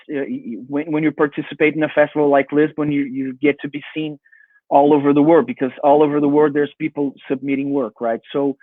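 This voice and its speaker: A man from Brazil with a Brazilian accent, a native Portuguese speaker, giving halting, conversational interview answers and often repeating his words. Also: a little high, no echo. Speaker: interview guest, advertising creative and festival jury member. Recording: narrow tinny phone-like line.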